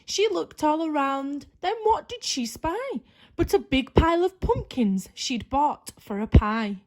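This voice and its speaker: Female children's storyteller: high, unhurried, expressive rhyming narration with dramatic flair.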